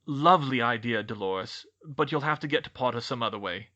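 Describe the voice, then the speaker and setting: A male narrator plays a courteous middle-aged government boss in a storybook. He is genial and wry yet harried, speaking with a bright, forceful tone and lively swings of pitch. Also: fairly quick, highs cut off. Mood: regretful, firm. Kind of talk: audiobook character voice.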